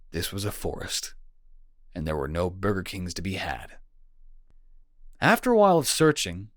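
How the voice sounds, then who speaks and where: A dry, close recording of a man playing a young boyfriend in a comfort roleplay. His mid-pitched voice moves around a lot, casual and playful, with gentle teasing and a caring manner toward a sick partner. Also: dry recording, close to an everyday voice. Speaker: audio roleplay performer.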